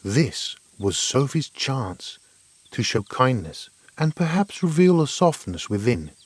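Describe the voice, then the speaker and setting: A man's voice: mid-range voice, big pitch swings, slow, slightly rough, dry recording. A man narrating a scripted fairy tale in an earnest, dramatic storytelling manner.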